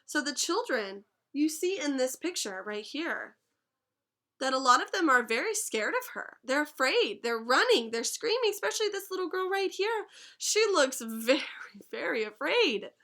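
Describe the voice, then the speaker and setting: A young woman teaching a class, reading a picture book aloud and pausing to explain words and ask questions. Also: sits high, sing-song, sharp tone. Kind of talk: read-aloud storytime lesson.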